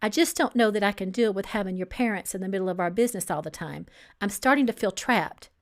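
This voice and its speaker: A woman's voice: mid-range voice, fast talker, natural voice. A woman reading a story aloud as a stern engaged man who is resentful, suspicious and firm. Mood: angry. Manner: firm, edgy, incredulous.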